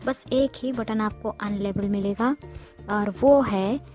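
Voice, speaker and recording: A woman's voice: medium pitch, takes it slowly, a little mellow. A woman presenting an informal step-by-step app tutorial, explaining and repeating her instructions as she goes. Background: music.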